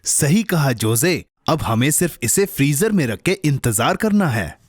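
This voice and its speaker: A man playing a caring, sensible companion to a little boy in a children's cartoon dub, speaking in an expressive, instructive, reassuring way. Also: fairly high pitch, measured pace, slightly rough, dry recording, studio quality, heavily acted.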